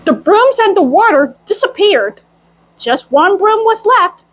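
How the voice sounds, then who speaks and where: A woman's voice: very high voice, big pitch swings, measured pace. A woman doing a storytime in a childlike, playful manner, role-playing as a cartoon mouse girl. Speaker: storyteller in costume. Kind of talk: in-character storytime reading and chat.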